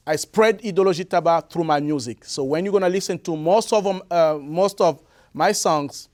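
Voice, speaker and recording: Man from the Congo, halting. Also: on the high side. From Republic of the Congo. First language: Lari. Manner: hesitant, many fillers and restarts. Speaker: musician guest. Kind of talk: interview answers. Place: radio or TV studio.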